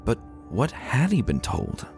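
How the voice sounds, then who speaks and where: Male narrator for dramatic fantasy reading: lowish pitch, serious, measured storytelling, light music underneath. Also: wildly swooping pitch, vocal fry, a little mellow.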